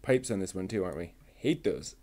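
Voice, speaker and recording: A man talking himself through assembling a model kit, muttering and pausing between steps. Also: low-pitched, animated, heavy background noise. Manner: slow, halting, with many pauses. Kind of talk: casual build narration.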